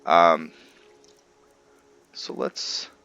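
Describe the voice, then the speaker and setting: A man's voice: drawn-out words, pushed and bright, dull audio, faint music behind. A man hosting a video game roleplay, giving casual, informal commentary and explaining things as he plays.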